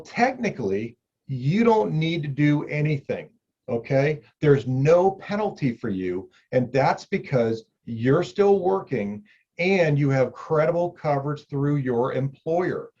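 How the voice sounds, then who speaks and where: A man's voice: mid-range voice, warm tone. Middle-aged guy talking straight to camera, chatty, repeats stuff, kinda dull lo-fi sound. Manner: conversational, repeats key points, addresses viewer directly. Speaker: insurance agent explaining benefits. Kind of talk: explainer video talk.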